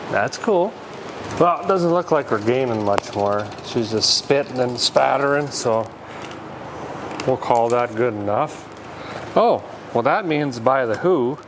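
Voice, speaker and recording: A man in his thirties talking casually as he works, with a folksy, deadpan, rambling humor, as host of a garage repair vlog. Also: medium pitch. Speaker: host of a car repair show. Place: large auto repair garage with concrete floor. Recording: clear background noise, garage ambience.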